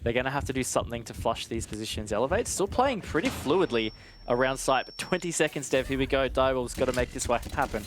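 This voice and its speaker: Mid-pitched male esports analyst on air. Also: animated, quick pace, background noise. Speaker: esports match commentator. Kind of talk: analytical live commentary, conversational.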